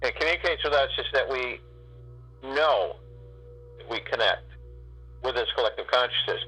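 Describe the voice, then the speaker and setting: A man guiding a spiritual meditation, speaking in slow, drawn-out phrases with long pauses. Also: mid-range voice, animated, pushed and bright, breathy edge, faint music behind, poor audio. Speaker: meditation guide.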